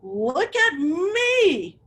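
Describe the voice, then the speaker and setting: A woman voicing an excited, boastful little penguin child, exclaiming and showing off in a picture-book read-aloud. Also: extremely high pitch, very slow, pitched above the performer's own voice, exaggerated voice.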